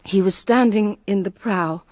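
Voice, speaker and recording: A woman's voice: mid-range voice, measured pace, a little mellow, no echo, natural voice. An actress playing a gracious, troubled married lady in a radio drama, refined, grave and restrained. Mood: haunted.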